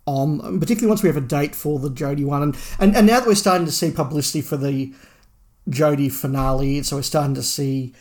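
A man's voice: a little high, quick pace, darkish tone. A man co-hosting a podcast in a casual fan discussion, rambling and thinking aloud. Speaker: podcast co-host.

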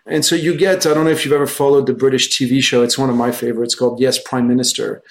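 Middle aged french guy as interview guest, native french speaker, medium pitched voice, chatty rambling anecdote style. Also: fairly even intonation, quick pace. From France. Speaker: guest, investment research executive. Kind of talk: conversational interview answers.

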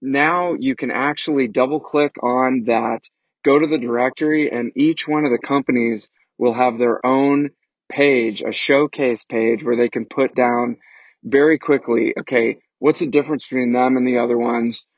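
Man casually pitching a product directory. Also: fairly even intonation, moderate pace, no echo, lo-fi sound. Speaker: show promoter. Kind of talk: promotional announcement.

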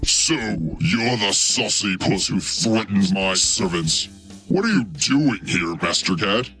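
A huge, menacing storybook ogre, boastful and drawling. The voice is low and slow with big swings in pitch, set over music. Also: male voice, expressive, fairly bright tone. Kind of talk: children's storybook character voice.

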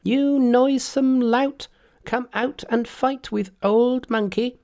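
A narrator voicing a boastful, fearless male monkey king in a storybook reading, loud and mocking. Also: mid-range voice, unhurried, pitched above the performer's own voice, close to an everyday voice. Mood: scornful.

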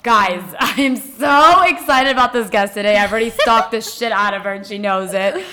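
A woman's voice: on the high side, normal speed, sharp tone. A woman hosting a casual chat interview, speaking in an effusive, slangy way. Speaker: podcast host.

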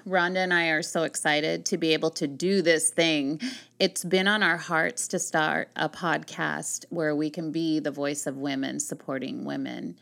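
A woman's voice: low-pitched, fairly even intonation, moderate pace, gravelly. A woman in her sixties co-hosting a podcast in casual chat, chatty and laughing often. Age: 60s. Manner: chatty, laughs often, warm encouraging talk. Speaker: podcast co-host.

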